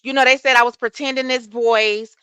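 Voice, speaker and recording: A woman hosting a casual livestream chat, reading and answering viewer comments. She has a soft voice and speech, and she talks in an animated way, cursing freely. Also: high voice, a little fast, clean tone, no echo. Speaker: livestream host.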